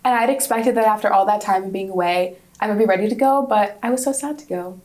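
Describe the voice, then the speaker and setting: A woman's voice: mid-range voice, fast talker, a little mellow. Student guest, woman in her twenties, native Hungarian speaker; upbeat, chatty answers with restarts. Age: 20s. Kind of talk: casual interview answers.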